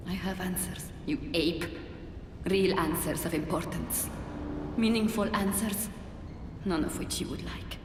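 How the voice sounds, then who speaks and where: Woman acting low-voiced scornful game character, echoing. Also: close to an everyday voice. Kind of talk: dramatic video game acting. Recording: echoey hall, distant, noisy ambience.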